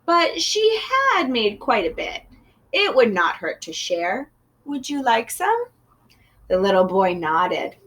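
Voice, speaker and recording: Woman reading a kids book out loud, super lively up and down voice. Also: fairly high pitch. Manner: expressive, storytelling. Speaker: storybook reader. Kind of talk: children's read-aloud.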